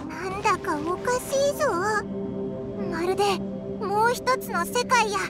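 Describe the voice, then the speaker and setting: A woman voicing a small, squeaky, excitable childlike companion in an anime game, under loud music. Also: measured pace. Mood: startled, wondering.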